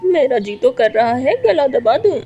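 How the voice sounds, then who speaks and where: A woman's voice: high voice, very animated, measured pace, warm tone, husky, dull audio, soft background music, heavily acted. Woman as a grumbling, swooping male crow. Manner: grumbling, complaining. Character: grumbling, henpecked male crow, an intruding bully. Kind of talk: animated children's story dialogue.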